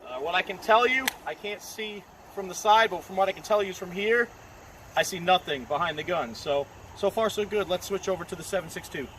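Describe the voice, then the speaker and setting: A man's voice: high voice, a little fast. A man casually talking through a firearm test outdoors at night, plainspoken and thinking aloud. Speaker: gun reviewer filming a test. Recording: noisy outdoor night recording.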